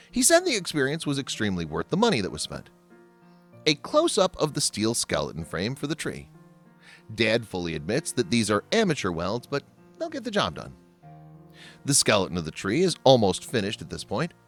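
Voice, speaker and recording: A man's voice: medium pitch, a bit bright. A man reading scripted voice-over narration in the manner of a how-to video. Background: background music.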